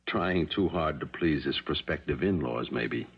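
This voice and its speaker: An American actor playing a troubled, pensive middle-aged husband in a 1970s radio drama, with a husky voice. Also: male voice, a bass voice, unhurried, heavily acted. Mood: reserved. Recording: muffled 1970s radio recording.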